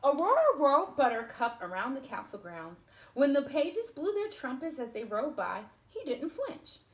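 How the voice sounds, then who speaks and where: A woman reading a storybook aloud to children, expressive, with chatty asides spoken straight to her young listeners. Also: sits high, normal speed, roomy sound.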